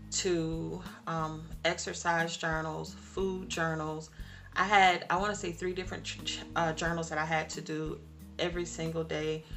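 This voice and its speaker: A woman's voice: low-pitched, sharp tone. A woman in her forties talking casually to the camera in her home kitchen, emphatic and repeating words for stress. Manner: emphatic, repeats for stress, talks directly to viewers. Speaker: vlogger. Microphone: camera or phone in front of her.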